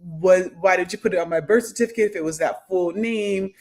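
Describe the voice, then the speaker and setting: A woman in her thirties, an academic guest on a podcast, talking reflectively with frequent pauses and restarts. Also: on the low side, a little mellow. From United States. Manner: thoughtful, many fillers and restarts. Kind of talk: reflective conversational interview.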